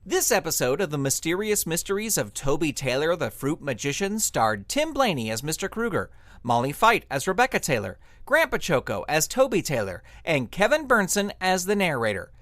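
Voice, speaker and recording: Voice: mid-range voice, sing-song, normal speed, fairly bright tone, no echo. An announcer reading scripted credits and supporter thanks for an audio show.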